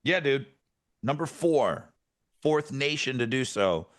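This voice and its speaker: A man's voice: mid-range voice, lively intonation, takes it slowly. A man co-hosting a podcast, teasing his partner with joking banter. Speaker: podcast co-host. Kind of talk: casual podcast chat.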